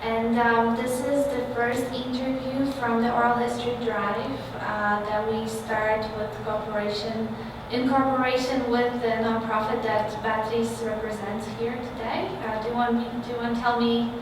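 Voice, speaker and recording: A woman with a fairly high voice conducts an informal oral history interview, halting as she goes. She sounds some distance from the mic in a very reverberant room, with clear noise around her. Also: flat delivery.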